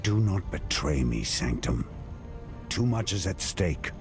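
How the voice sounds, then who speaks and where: Grim game warrior: very low, terse, widely swinging delivery, determined and brooding. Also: male voice, sing-song, slow pace, heavily acted. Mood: wary, grim. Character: grim, determined male warrior set on defeating his enemies. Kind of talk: dramatic game cutscene acting. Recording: long hall reverb, music behind.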